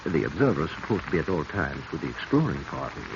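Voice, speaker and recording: Casting: courtly foreign official, flowery formal speech, very low lively voice, old radio mystery. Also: male voice, fast talker, full character voice. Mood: gallant. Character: courtly foreign museum official, gallant Egyptology observer. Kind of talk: 1940s radio acting. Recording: muffled, very noisy vintage radio recording.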